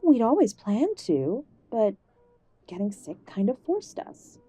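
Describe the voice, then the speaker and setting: A woman playing an ailing, contrite mother in an audio drama, soft and pleading toward her estranged son. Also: fairly high pitch, sing-song, slow pace, slightly rough, exaggerated voice. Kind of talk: audio roleplay drama acting.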